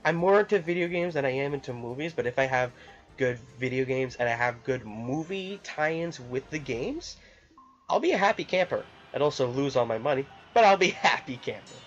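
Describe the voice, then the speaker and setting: A man's voice: mid-range voice, animated, a little fast. Rambling man on a dull lo-fi mic. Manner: rambling, hesitant, often repeats phrases. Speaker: video game YouTuber. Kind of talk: casual unscripted opinion vlog. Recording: dull lo-fi mic, music behind. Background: background music.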